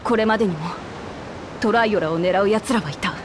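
A woman voicing a young lion-woman warrior queen in a video game, passionate and earnest, with rough, tomboyish speech. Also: medium pitch, fairly quick, noisy background, natural voice. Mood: grave, resolute. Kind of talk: dramatic video game voice acting.

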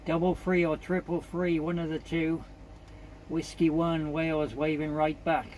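Higher-pitched man on CB radio. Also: flat delivery, measured pace, a little mellow, slight background noise, poor audio. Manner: polite, procedural, repeats call signs. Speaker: amateur CB radio operator. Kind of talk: radio contact chat with call signs.

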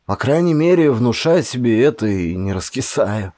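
A man's voice: medium pitch, expressive, normal speed, dark tone, no room sound, pitched above the performer's own voice, natural voice. A man voicing a sick young man in an audiobook, stubbornly stoic and needling his friend.

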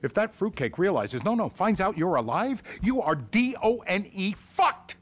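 An exasperated agent with a mid-pitched, animated voice. He scolds in sharp bursts. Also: normal speed, exaggerated voice. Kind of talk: video game cutscene acting.

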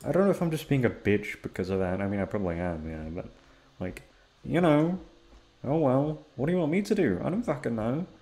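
A young man rambling in a casual chat, swearing often and jumping into high-pitched exclamations. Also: very animated, fairly quick, warm tone, a little hiss or hum. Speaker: audio roleplay creator.

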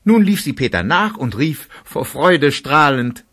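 A man's voice: on the high side, big pitch swings, unhurried, a bit bright, slightly rough. A man narrating a children's radio play in a scripted storybook style.

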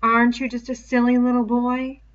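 A woman voicing a menacing, fly-covered pig's head that taunts a boy slowly and mockingly like a stern schoolmaster, on a dull lo-fi recording. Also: fairly high pitch, completely flat delivery, pitched above the performer's own voice, close to an everyday voice.

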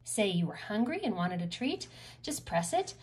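A woman's voice: medium pitch, big pitch swings, normal speed, a little mellow. Woman reading a rhyming storybook aloud. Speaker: host reading a picture book aloud. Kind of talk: expressive rhyming storybook read-aloud for children.

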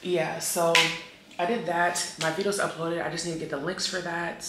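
Woman, 30s, travel vlogger, casual and slangy, animated, lowish voice, echoey hotel room. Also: moderate pace, very noisy. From Haitian heritage. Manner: animated, loud bursts of laughter, slangy talk to viewers. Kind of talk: casual chatty solo travel vlog. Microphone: camera on tripod, some handheld selfie shots.

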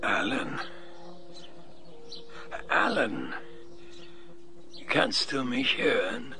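A man playing a methodical, probing researcher in a science fiction audio drama, questioning in a measured, clinical way. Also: mid-range voice, big pitch swings, creaky, pushed and bright, a little hiss or hum, exaggerated voice.

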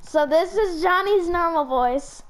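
A woman giving casual gameplay commentary as a host, in an excitable, giggly manner. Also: very high-pitched, a little slow, a little hiss or hum, poor audio. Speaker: host, gaming YouTuber.

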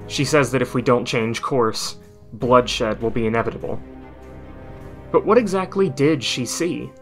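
A man's voice: mid-range voice, a bit bright. Man narrating game lore over music. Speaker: video creator and narrator. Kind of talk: scripted lore commentary.

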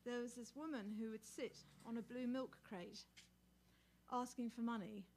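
A woman's voice: on the high side, fairly even intonation, normal speed, a little mellow, slight background noise. A middle-aged woman talks informally from a small indoor stage. She sounds conversational, introducing a song to a small audience in a live recording with room sound. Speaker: singer-songwriter. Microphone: microphone on a stand.

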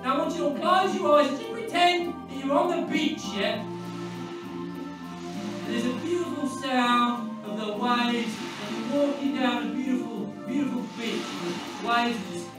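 An actor playing a manic, foul-mouthed British criminal with an erratic, volatile way of talking in a video game. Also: male voice, extremely high pitch, normal speed. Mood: coaxing. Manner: sweary, erratic, swinging from soothing to ranting. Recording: echoey, distant, music behind.

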